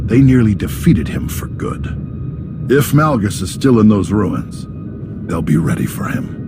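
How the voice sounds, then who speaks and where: Grave, low-voiced master, music behind. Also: male voice, sing-song. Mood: resolute. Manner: measured, formal. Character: composed senior Jedi master, grave, courteous mission leader. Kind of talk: scripted video game voice acting. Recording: loud music under the voice.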